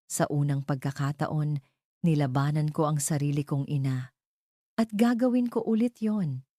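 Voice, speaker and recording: A woman's voice: low voice, normal speed, warm tone, no echo, clean studio sound. A woman voicing a remorseful man who pleads earnestly with his love in a dramatic love story. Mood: pleading, remorseful.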